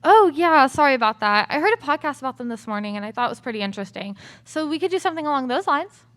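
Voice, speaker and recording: A woman's voice: sits high, lively intonation, fast, fairly bright tone. A young woman acting a fussy, opinionated student in an amateur radio play. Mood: chatty, brisk. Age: young adult.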